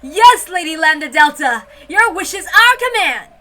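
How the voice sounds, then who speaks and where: Obedient demon servant for a visual novel, high, loud and keen, acted by a woman. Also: very animated, a little slow, slight background noise, full character voice. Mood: eager.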